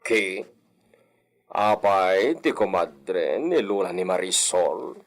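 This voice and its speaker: A man's voice: low voice, animated, takes it slowly. An actor playing a lordly señor and father in an audio drama, drawing out words and sprinkling in Spanish. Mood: curt, suspicious. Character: haughty Spanish-speckled señor, doting father of little girl. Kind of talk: Filipino audio drama acting.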